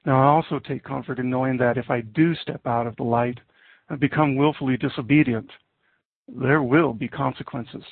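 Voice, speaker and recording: A middle-aged man preaching a sermon and reading scripture in an earnest, deliberate way with pauses. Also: mid-range voice, steady tone, vocal fry, slightly rough, no room sound. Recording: muffled narrowband, phone-like audio.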